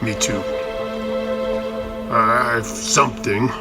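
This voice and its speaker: A man playing a tall, muscular orc commander, stern and measured, in a fantasy audio drama. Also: medium pitch, fairly even intonation. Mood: calm command. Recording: loud music bed under voice.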